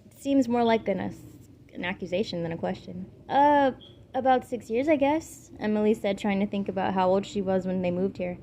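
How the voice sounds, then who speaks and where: A woman's voice: medium pitch, steady tone, brisk, warm tone. A woman reading a story aloud as an amateur narrator. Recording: clearly noisy amateur home recording. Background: background noises.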